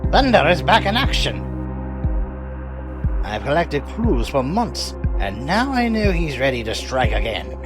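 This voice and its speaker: An excitable old sidekick telling urgent, dramatic tales in a cartoon, played by a man. His fairly high voice swoops widely. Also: unhurried. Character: excitable old male former superhero's sidekick, insistent storyteller. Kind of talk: acted comic dub dialogue. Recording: music behind the voice.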